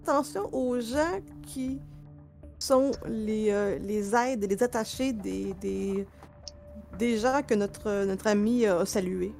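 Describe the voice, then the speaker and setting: Brief: woman player, vocal fry, thinks aloud with pauses. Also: medium pitch, darkish tone, faint music behind. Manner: hesitant, searching for words. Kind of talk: casual chat at a tabletop game.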